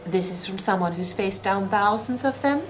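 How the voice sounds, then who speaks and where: A woman's voice: mid-range voice, normal speed, a little hiss or hum, natural voice. Audio drama companion: actress as a sensible, practical woman adventurer, mid-range voice, formal and drily unimpressed. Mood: dry, doubtful. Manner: dry, matter-of-fact, formal. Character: level-headed, practical female adventurer companion.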